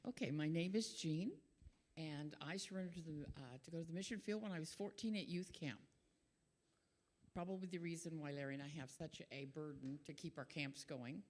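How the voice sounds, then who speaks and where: An older woman giving an earnest missionary testimony at church, steady with some fillers. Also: low voice, brisk. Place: church sanctuary.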